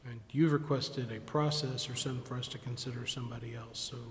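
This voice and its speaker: A man's voice: mid-range voice, fairly even intonation, quick pace. A man asking clarifying questions at a public meeting. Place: indoor council chamber. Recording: echoey chamber with faint noise.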